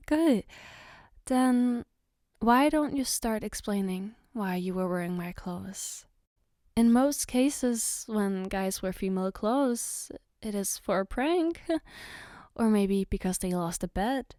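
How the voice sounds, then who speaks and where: A woman playing a caring, flustered girlfriend in an ASMR roleplay, speaking gently and haltingly to comfort her partner. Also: medium pitch, expressive, no echo, studio quality. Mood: soft, coaxing. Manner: hesitant, gentle, reassuring, halting. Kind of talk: ASMR roleplay, spoken to the listener.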